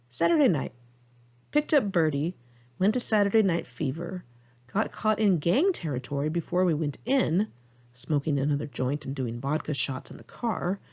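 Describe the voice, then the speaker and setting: A woman's voice: lowish voice, darkish tone, breathy edge, lo-fi sound. An American woman reading her own diary-style memoir aloud in a candid, conversational way. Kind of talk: audiobook narration of diary entries.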